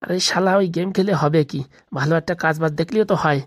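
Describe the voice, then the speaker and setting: A woman's voice: deep voice, fast, dry recording, studio quality. A woman voicing a scolding, exasperated village father in a comic cartoon, with a coarse, haggling manner. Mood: exasperated, bargaining.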